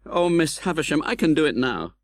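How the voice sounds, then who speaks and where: A British man playing an earnest, tender-hearted gentleman who recounts his own life in a dramatic, measured audiobook narration. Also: a little high, sing-song. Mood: earnest, grave. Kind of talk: dramatic literary audiobook narration in first person.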